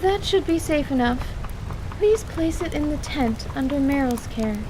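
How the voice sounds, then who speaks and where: Woman playing a high, precise young healer. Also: expressive, background noise. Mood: clinical, curious. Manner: careful, polite, deliberate instructions. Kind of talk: fantasy radio theatre acting. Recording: rain ambience behind voice.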